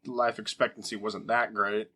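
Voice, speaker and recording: A man's voice: lowish voice, little pitch movement, moderate pace, pushed and bright, slightly rough. A man co-hosting a podcast, chatting casually with laid-back, brief replies. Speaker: podcast co-host. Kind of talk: casual chat.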